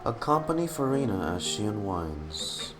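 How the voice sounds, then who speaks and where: A man's voice: lowish voice, very animated, unhurried. A man giving casual live commentary as he streams a game, reacting with murmured asides and exclamations. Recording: game music behind the voice. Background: video game music.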